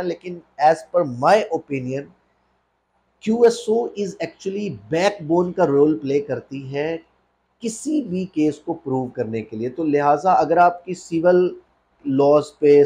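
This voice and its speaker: A man's voice: on the high side, mellow. A man with a Pakistani accent lecturing on law in an explanatory, didactic way, mixing English phrases into his speech.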